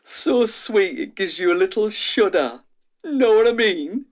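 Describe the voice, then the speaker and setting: A man's voice: sits high, lively intonation, a little slow. An actor playing an eager, down-to-earth man in a radio drama, chatty and relishing each phrase. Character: eager, down-to-earth man savouring every taste. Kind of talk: audio drama acting.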